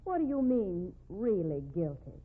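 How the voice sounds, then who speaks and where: A woman's voice: medium pitch, sing-song, measured pace. A woman playing a brazen, scheming office embezzler in a 1950s radio mystery, sassy and slangy. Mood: startled, defensive. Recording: tinny narrowband 1950s radio recording.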